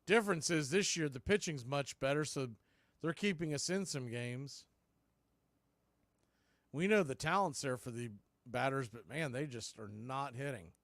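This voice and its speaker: A man's voice: medium pitch, moderate pace, a bit bright, dry recording. A man hosting live baseball play-by-play, giving punchy calls and folksy, opinionated commentary. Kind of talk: live radio sports commentary.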